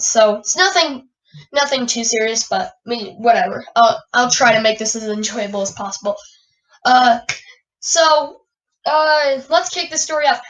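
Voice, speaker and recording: Woman podcast host, high voice, raspy, stuffy from a cold, rambling with fillers, a bit off-mic. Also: fairly bright tone, distant mic, rough recording. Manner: announcer-style opener, then loose rambling chat with fillers. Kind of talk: wrestling news commentary.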